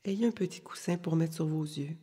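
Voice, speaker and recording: A woman leading a guided yoga nidra meditation, giving calm, soothing, unhurried instructions with long pauses in a soft, breathy voice. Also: low-pitched. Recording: long reverberant hall-like echo.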